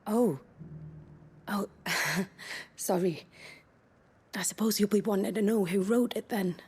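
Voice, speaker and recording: A sly courtesan from a fantasy game cutscene, very breathy and unhurried. She is coy and teasing, letting each phrase hang. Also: mid-range voice, darkish tone. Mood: casual, knowing. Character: sly, teasing courtesan who carries secret messages. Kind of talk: video game cutscene acting.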